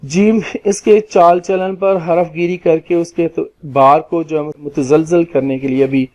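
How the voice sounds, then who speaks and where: A man with a Pakistani accent explaining legal points as a lawyer, in a formal, deliberate lecture style that sometimes repeats phrases. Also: fairly high pitch, steady tone, darkish tone. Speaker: lawyer giving legal lessons.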